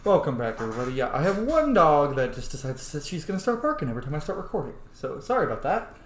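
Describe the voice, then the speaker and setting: A man's voice: a little high, very animated, quick pace, roomy sound. A man giving casual, informal let's-play roleplay commentary with some swearing. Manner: informal, profane. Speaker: streamer, self-styled dungeon master.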